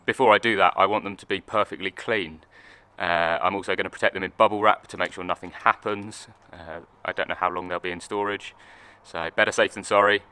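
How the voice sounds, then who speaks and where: Brief: male car vlogger, lowish smooth voice, slightly croaky, chatty and upbeat, home garage. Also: bright, forceful tone, no echo. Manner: chatty, upbeat, self-narrating while working. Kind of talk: casual workshop vlog.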